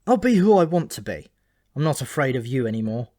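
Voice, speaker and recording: A man voicing a bold, indignant young man with an excitable, questioning way of talking, in a storybook reading. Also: medium pitch, fairly quick. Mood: defiant.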